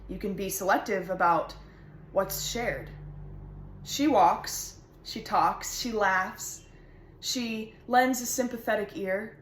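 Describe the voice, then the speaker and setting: An intense, reflective lover played by a woman speaks in a dramatic stage monologue. The phrases are deliberate and weighted, set apart by pauses. The voice sits mid-range in an echoey room. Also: medium pitch, slight background noise, natural voice. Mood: reverent, intense. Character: intense lover reflecting on intimate knowledge and loss.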